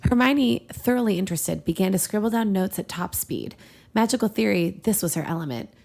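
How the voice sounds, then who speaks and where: A woman co-host reads fan fiction aloud in a mid-pitched voice with plenty of creak. She slips easily between narration and casual banter, and is prone to giggling. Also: animated, brisk, a little mellow. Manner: giggly, breaking into laughter mid-reading. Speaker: podcast co-host and reader.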